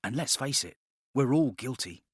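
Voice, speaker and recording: A man's voice: medium pitch, lively intonation, moderate pace, breathy edge, no room sound, studio quality. A man voicing a suspicious, hot-tempered young man from London's East End in an audiobook, urgent and intense. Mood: wary.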